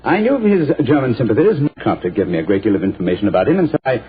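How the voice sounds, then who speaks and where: A cool, incisive detective who talks quickly with lively, shifting pitch and a husky edge. He is assured and a little condescending. The sound is thin and lo-fi, like an old radio broadcast. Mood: explaining. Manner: crisp, assured, faintly condescending. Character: cool, incisive male detective, middle-aged. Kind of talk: 1940s radio acting.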